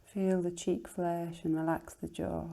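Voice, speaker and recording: Woman, relaxation teacher, very mellow and low-key, creaky low fry, soft breathy phrase endings, soothing unhurried instruction. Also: level tone. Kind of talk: guided relaxation meditation.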